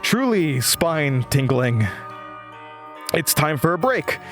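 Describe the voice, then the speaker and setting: Haunted nervous young horror radio host, slow talker, music under him. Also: male voice, fairly high pitch, big pitch swings. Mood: flustered. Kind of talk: audio drama acting.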